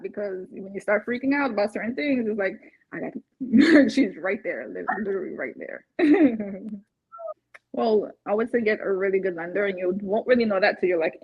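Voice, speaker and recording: A woman from Barbados with a husky voice, talking casually and chattily, as in a testimonial interview. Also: medium pitch, dry recording. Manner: chatty, laughs often while talking. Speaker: interviewed client, first-time home buyer. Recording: dull, muffled lo-fi audio.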